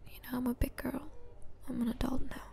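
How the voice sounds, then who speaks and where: A woman playing an anxious, grieving young adult in an ASMR roleplay, speaking in a hushed, breathy, halting way. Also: high voice, flat delivery, a little hiss or hum. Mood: regretful, sad. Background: hospital ambience.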